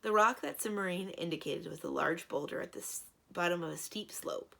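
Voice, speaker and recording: A woman reading a children's story aloud in an expressive read-aloud style. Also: fairly low pitch, normal speed, vocal fry. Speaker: library read-aloud reader.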